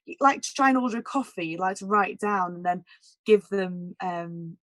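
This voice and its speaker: A woman jazz singer with a naturally husky voice, talking candidly in a casual interview, full of "like" and "you know". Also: mid-range voice, normal speed, dry recording. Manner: candid and emotional, many "like" and "you know" fillers.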